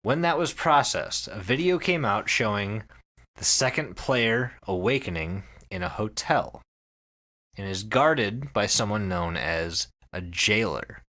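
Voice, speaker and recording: Animated, bright-voiced male podcast host. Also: lowish voice, a little slow. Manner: profane, emphatic, repeats phrases for effect. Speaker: podcast host telling a story to co-hosts. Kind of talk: casual, animated storytelling chat.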